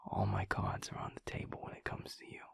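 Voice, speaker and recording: A man playing a tender, earnest werewolf boyfriend in an intimate audio roleplay, speaking softly and haltingly with a breathy, gravelly voice. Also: whispered, lightly acted. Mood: tender, reassuring. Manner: hushed, halting, heartfelt, soft-spoken.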